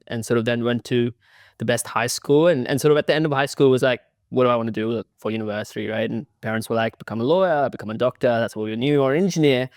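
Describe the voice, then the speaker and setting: A man's voice: mid-range voice, no echo, studio quality. A young man raised in Sydney, a guest telling his story in a loose, chatty way full of "like" and "sort of". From India, raised in Sydney, Australia. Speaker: guest, city founder. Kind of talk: casual interview talk.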